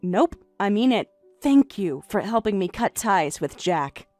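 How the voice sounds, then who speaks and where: A woman voicing a calm young wife who is unbothered by her husband's affair, speaking flat, quiet and deadpan in a voiced story drama. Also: mid-range voice, moderate pace, no room sound, soft background music, lightly acted.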